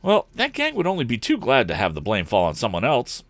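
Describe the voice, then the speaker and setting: A straightforward, conscientious young pal from an adventure storybook, as a man reads him. He speaks conversationally with animated rises and falls. The recording is dry and close. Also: medium pitch, fairly quick, no room sound. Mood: concerned. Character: conscientious young bank employee, inventor's chum. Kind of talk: audiobook character voice.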